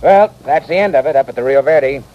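An American actor playing a sensible, educated town doctor, dry and plain-spoken, in an old radio western. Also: male voice, medium pitch, fairly quick, close to an everyday voice. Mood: dry, weary. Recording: dull, noisy vintage radio recording.

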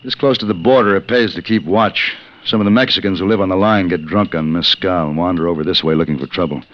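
An actor playing a stoic, duty-bound western gunfighter in a 1950s radio drama, speaking in a grave, measured way. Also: male voice, deep voice, lightly acted. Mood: firm. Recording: muffled vintage radio recording.

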